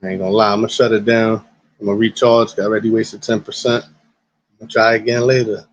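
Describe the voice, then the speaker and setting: A man with a raspy smoker's voice, rambling casually and profanely to his live-stream chat while high. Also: flat delivery, fairly quick, no echo. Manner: laid-back, rambling, profane. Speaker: livestreamer. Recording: dull lo-fi stream audio.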